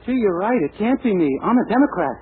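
A guileless young man in a 1940s radio sitcom. He is boyish and cheerful and talks fast, on a thin, very noisy old recording. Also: high voice, big pitch swings, narrow, tinny audio. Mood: innocent, put out. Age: young adult. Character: naive, cheerful young man of twenty-two.

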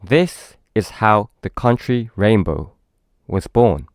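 Man, British accent, children's storybook narration, unhurried, expressive, close dry recording. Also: lowish voice, dry recording. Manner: expressive, addressing a young child. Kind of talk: children's story read-aloud.

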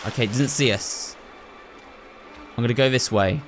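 A man's voice: mid-range voice, normal speed, noisy background. A man hosting a video game playthrough, thinking aloud and talking to viewers in a running commentary. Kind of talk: excitable gameplay commentary.

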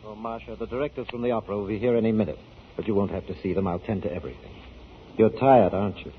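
An actor playing a possessive, imperious husband and opera manager, formal and emphatic in 1940s radio style. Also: male voice, fairly low pitch, fairly even intonation, quick pace, natural voice. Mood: tender, wounded. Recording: tinny, noisy 1940s radio broadcast.

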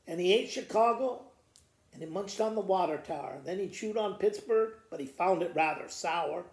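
A man in his sixties with a gravelly voice, reading children's poems aloud like a school principal to students, in a living room with the camera a little way off. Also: high-pitched, expressive, moderate pace. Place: living room by a fireplace, indoors. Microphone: camera a short distance away. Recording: roomy indoor echo.

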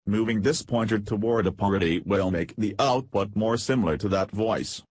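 A man reading scripted software tutorial narration in a measured, step-by-step way. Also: lowish voice, no room sound.

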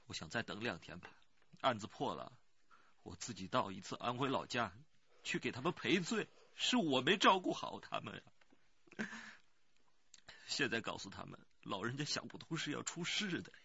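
A man's voice: mid-range voice, big pitch swings, moderate pace, vocal fry, sharp tone, husky, no echo, lo-fi sound, heavily acted. An actor playing an anxious rural working man who pleads earnestly and hesitantly in a radio crime drama. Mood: grieving, apologetic.

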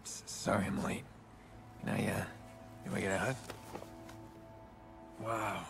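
A man in his thirties playing a brooding, protective big brother in a video game, understated, with a gruff gravelly voice. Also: low voice, steady tone, measured pace, exaggerated voice. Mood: tender, earnest. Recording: music and noise behind.

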